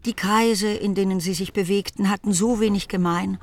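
A woman narrating a literary radio play as a composed, wry storyteller, deliberate and ironic in delivery. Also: medium pitch, fairly even intonation, no echo, lightly acted. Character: composed, wry storyteller presiding over the family.

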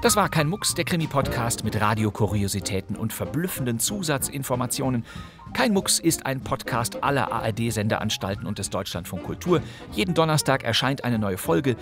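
A middle-aged man hosting a podcast with scripted, playful narration, wry and given to theatrical emphasis and pauses. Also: medium pitch, expressive, music in the background. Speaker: podcast host. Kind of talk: scripted, playful presentation on radio drama history.

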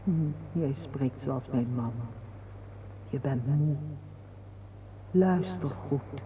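A woman acting a gentle, serene older mother who grieves her fallen son, speaking patiently and solemnly in a radio drama. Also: rumbling low, full character voice. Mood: calm, consoling. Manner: slow, patient, consoling. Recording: muffled, noisy old radio play recording.

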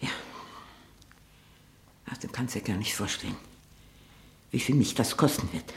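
A woman playing a domineering, scheming, sickly old aunt in a 1960s radio play, with a breathy voice that often drops into a whisper. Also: very deep voice, unhurried, heavily acted. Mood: calculating, resigned.

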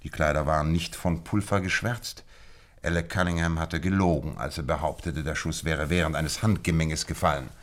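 Very deep-voiced detective in a radio play, smug lecturer, precise and sure of himself. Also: male voice, steady tone, normal speed. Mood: deductive, intent. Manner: lecturing, precise, self-assured. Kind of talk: German radio play acting.

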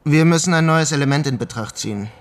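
A man's voice: fairly high pitch, fast, natural voice. An actor playing a probing, matter-of-fact male investigator in a radio drama, inquisitive and businesslike in his way of talking. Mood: concerned, businesslike. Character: brisk, probing male investigator questioning scientists.

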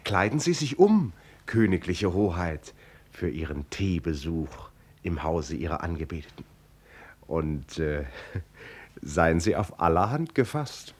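A man's voice: low voice, sing-song, slow pace, darkish tone, breathy edge. A man narrating a radio play in an ironic, teasing manner, speaking as if directly to listeners and characters. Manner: ironic, teasing, chuckling asides.

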